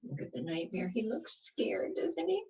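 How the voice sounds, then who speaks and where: A woman reading a picture book aloud to young children like a teacher, expressive, often asking the listeners questions. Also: medium pitch, unhurried, vocal fry, husky, highs cut off. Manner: asks the listeners questions, dramatic emphasis.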